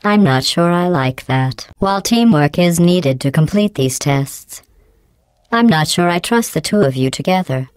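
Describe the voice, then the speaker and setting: A woman voicing a cold, sarcastic female AI that runs test chambers, speaking in a deadpan, condescending way through a synthetic computer-voice effect. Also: on the low side, measured pace, clean tone, no room sound. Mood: deadpan scornful. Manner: deadpan, condescending, calmly insulting. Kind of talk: video game voice clips.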